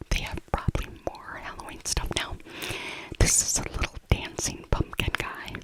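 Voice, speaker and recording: A middle-aged woman whispering a casual, rambling ASMR shopping voiceover, softly repeating her phrases. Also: low-quality recording. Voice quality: soft unvoiced whisper. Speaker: ASMR creator.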